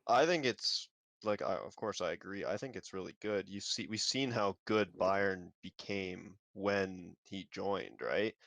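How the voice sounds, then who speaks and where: A man co-hosting a casual football podcast, speaking haltingly and often ending with "right?". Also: low voice, creaky, fairly bright tone, dry recording. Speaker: co-host.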